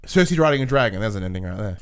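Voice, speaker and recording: A man co-hosting a casual podcast, talking in a playful, excitable way full of exclamations. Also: fairly low pitch, rapid-fire. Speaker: podcast co-host.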